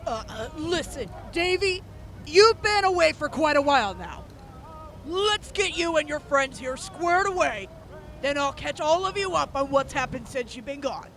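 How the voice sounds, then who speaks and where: A woman's voice: high-pitched, big pitch swings, a little slow, fairly bright tone, soft background music, heavily acted. A woman playing a welcoming, confident mercenary officer in an audio drama. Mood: brisk, assured.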